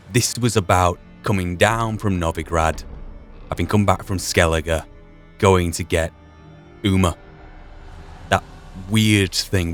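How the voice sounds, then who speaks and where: Casting: male game commentator, fairly deep, reflective and halting, talking over faint background music. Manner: thoughtful, halting, thinking through asides. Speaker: gaming video host. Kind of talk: reflective playthrough commentary, musing aloud.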